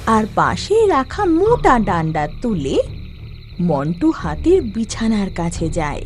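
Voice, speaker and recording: Lively female storyteller over music. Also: fairly high pitch. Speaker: narrator. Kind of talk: scripted cartoon story narration. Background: soft background music.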